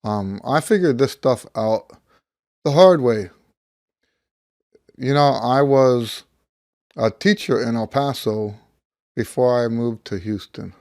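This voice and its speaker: A middle-aged American man, slightly hoarse as he gets over losing his voice, talking casually and ramblingly like a teacher sharing experience. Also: mid-range voice, slow pace, long pauses, a little mellow, no room sound, clean studio sound. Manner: rambling, conversational, thinking aloud. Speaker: trumpet teacher. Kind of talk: casual talk to camera, personal anecdote.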